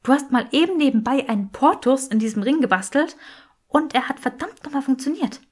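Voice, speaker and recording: A woman's voice: sits high, expressive, a little fast, voice pushed up. A woman voicing an earnest, teasing young witch in a fantasy audiobook. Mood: earnest, admiring.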